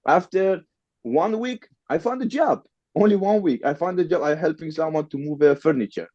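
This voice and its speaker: A man's voice: a little high, moderate pace, darkish tone, dry recording, dull audio. Podcast guest, man, Persian accent, non-native English, repeats lines for emphasis. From Middle East. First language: Farsi. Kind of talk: casual interview storytelling.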